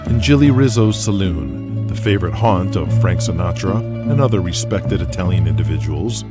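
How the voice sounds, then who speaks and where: Low-voiced American male narrator over loud music. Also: animated, normal speed. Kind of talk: dramatic scripted narration.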